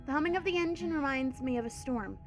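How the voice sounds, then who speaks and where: Girl reading as a tired scruffy drifter guy, low and subdued, stuffy nose. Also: quick pace, music in the background. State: congested. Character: quiet weary male drifter.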